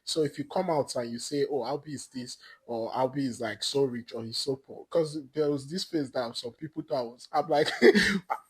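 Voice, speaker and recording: A young Nigerian man with an Igbo accent chats as a podcast guest, his mid-pitched voice a little husky. He rambles through his stories and breaks into laughter often. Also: moderate pace, few pauses, low-quality recording. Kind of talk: casual chat.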